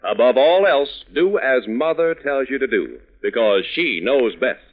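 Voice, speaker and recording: A man's voice: mid-range voice, lively intonation, unhurried. A man announcing on 1940s radio in a scripted, folksy, instructive and emphatic style. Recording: old narrow-band radio recording.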